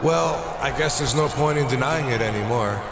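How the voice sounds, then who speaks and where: A man's voice: medium pitch, moderate pace, creaky, natural voice. A man playing a cocky, defiant wrestler making declamatory boasts in a video game promo. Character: cocky, defiant male wrestling champion-to-be, proud and unrepentant. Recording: noisy arena with loud crowd.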